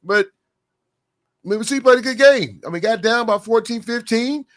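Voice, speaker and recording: A man co-hosting a casual high school basketball podcast, a little hoarse and rambling, repeating words as he goes. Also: lively intonation, normal speed. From Oklahoma. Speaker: podcast co-host. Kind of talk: casual sports chat.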